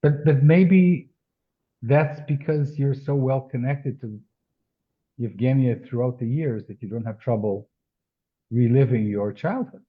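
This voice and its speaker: Man host, tinny phone-like sound, mid-pitched, hesitant questions with fillers. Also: unhurried. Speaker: interview host. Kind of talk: interview questions.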